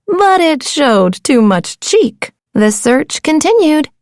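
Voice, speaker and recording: A woman narrating a children's storybook in a dramatic, playful and deliberate way. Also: high voice, sing-song, a little mellow, dry recording, clean studio sound. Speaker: audiobook narrator.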